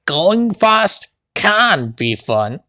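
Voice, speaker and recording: Kindly older male engine, storybook mentor, voiced by a narrator; slow, lilting, patient advice. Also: mid-range voice, big pitch swings, bright, forceful tone, no room sound, full character voice. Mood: gently advising. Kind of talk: children's storybook character reading.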